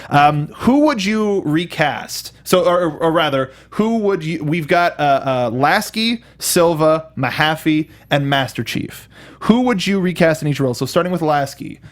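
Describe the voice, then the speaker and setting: A man's voice: fairly high pitch, lively intonation, a little slow. A man co-hosting a review show, chatting casually and animatedly about films. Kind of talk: casual film discussion.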